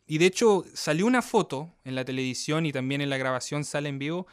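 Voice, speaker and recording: A man in his thirties, raised in Australia by Chilean parents, talks warmly as an interview guest. His voice is smooth and clear and sits a little high. It is recorded close and dry. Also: fast, dry recording. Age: 30s. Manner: warm storytelling, often asks "¿me entiendes?". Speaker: cueca dancer guest. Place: Melbourne.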